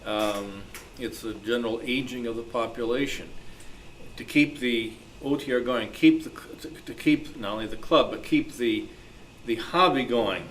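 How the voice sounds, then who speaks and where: Halting man moderating, noisy recording. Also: medium pitch, a bit bright. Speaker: moderator of a club panel. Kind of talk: unscripted panel moderating. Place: club meeting room. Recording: noisy live meeting room recording.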